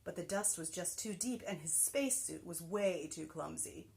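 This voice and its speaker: A woman teacher reading a children's book aloud with expressive, animated delivery and soft whispered passages. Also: fairly low pitch, normal speed, vocal fry.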